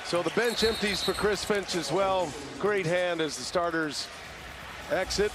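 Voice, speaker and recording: A man's voice: high-pitched, unhurried. A man giving live play-by-play commentary on a basketball game as its announcer. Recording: very noisy relayed game broadcast. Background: loud arena ambience, bouncing ball.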